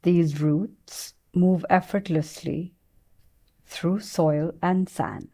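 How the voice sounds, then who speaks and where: A woman guides a meditation in a soft, very mellow voice. She speaks very slowly, leaving long pauses between phrases. Her pitch sits a little low, and her delivery is soothing and gentle. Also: fairly even intonation.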